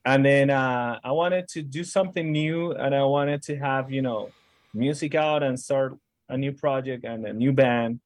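A man from Caracas with a Venezuelan Spanish accent, a guest musician answering interview questions in a halting way, searching for words. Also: medium pitch, no echo. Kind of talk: casual interview chat.

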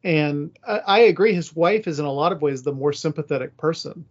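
A man's voice: on the high side, fairly quick. A male podcast host chats in a plain-spoken, conversational way. His voice comes through a slightly muffled, lo-fi recording, and the style is informal discussion. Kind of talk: casual chat about a radio drama. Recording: slightly dull, lo-fi audio.

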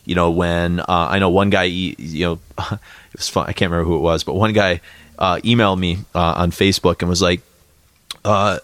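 A man with a very low voice co-hosts a casual podcast. He rambles, with plenty of fillers and restarts. The sound is dry and close on the mic. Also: little pitch movement, a little fast, a bit bright, no echo. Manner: rambling, lots of filler words. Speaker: podcast co-host. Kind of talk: casual chat. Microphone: studio podcast mic.

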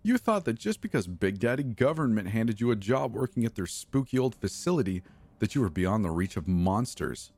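A man's voice: big pitch swings, moderate pace, a little mellow. A man voicing a cruel, taunting teenage girl in a spoken horror story. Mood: sneering. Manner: mocking, shrill and sneering.

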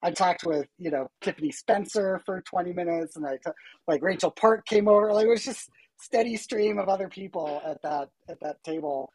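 A woman with a husky voice telling a chatty anecdote full of fillers and laughter. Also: lowish voice, fairly quick, a little mellow, no echo, low-quality recording. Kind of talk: casual anecdote.

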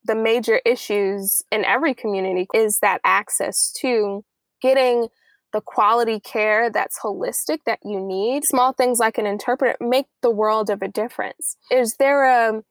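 A woman's voice: on the high side, steady tone, moderate pace, dry recording. A woman hosting an interview podcast, speaking into a close microphone. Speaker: podcast host. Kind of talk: scripted introduction and interview.

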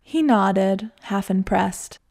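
A woman's voice: medium pitch, slow, darkish tone. A woman narrating a personal travel story for her listeners, wry and reflective, with a self-deprecating edge. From United States. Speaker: podcast narrator.

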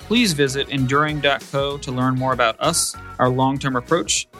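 A man's voice: mid-range voice, fairly even intonation, normal speed, bright, forceful tone, soft background music. A man hosting a business podcast, reading a formal scripted introduction and asking questions. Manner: polished, formal. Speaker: podcast host.

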